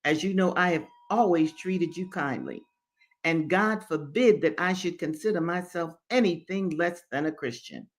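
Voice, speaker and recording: A woman's voice: fairly low pitch, moderate pace, close to an everyday voice. A woman voicing a pious genteel mistress, reproachful and self-righteous, in a formal moralizing manner for a novel reading.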